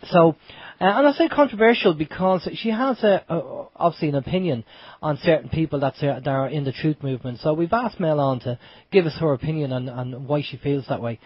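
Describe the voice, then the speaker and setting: A man's voice: a little high, fairly quick, slightly rough, no room sound. An Irish man with a cold, sounding blocked up and nasal, hosting a casual internet radio chat. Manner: chatty, loose, with restarts. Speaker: radio show host. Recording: dull lo-fi home radio stream.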